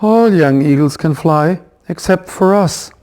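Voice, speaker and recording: A man's voice: on the high side, unhurried, a little mellow, lightly acted. A man voicing a gloomy, timid young eaglet in a children's storybook.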